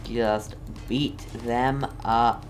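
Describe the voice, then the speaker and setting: A man's voice: mid-range voice, fairly even intonation, very slow, faint music behind. A man giving casual gameplay commentary as a streamer, in an offhand, playfully repetitive mutter. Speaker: game streamer.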